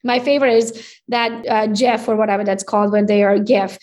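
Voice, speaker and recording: A woman in her forties from Romania with a Hungarian accent, telling her story as an interview guest in an upbeat, animated way. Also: medium pitch, fairly quick. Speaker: real estate agent guest. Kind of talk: casual interview chat.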